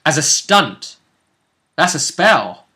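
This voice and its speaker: Bright-voiced man recapping a game. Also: fairly high pitch, very animated, slow pace. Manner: storytelling, seeks agreement with tag questions. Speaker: game master and show host. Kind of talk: casual recap of a tabletop role-playing session.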